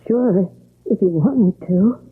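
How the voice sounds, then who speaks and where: A woman's voice: mid-range voice, slow, husky. A woman playing a bewildered, evasive young amnesia patient in a 1970s radio drama, speaking hesitantly with stammers. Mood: anxious, uncertain. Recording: tinny, band-limited old radio broadcast.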